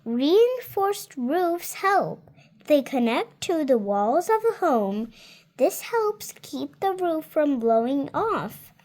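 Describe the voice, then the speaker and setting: High-voiced seven-year-old girl reading aloud. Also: very animated, slow, darkish tone. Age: child, 7 years old. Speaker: child reader. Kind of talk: read-aloud of a children's nonfiction book with spontaneous comments.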